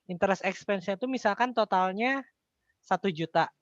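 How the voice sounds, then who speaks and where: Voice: medium pitch, moderate pace, dry recording. Casual Indonesian tutor, dry muffled sound. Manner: casual, colloquial, step-by-step. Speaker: accounting tutor. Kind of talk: informal tutoring explanation with rhetorical questions.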